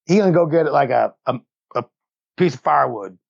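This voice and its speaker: A man's voice: on the high side, animated, fairly quick, creaky, dry recording. A man playing a tabletop roleplaying game in casual, in-character table talk, on a slightly dull close recording.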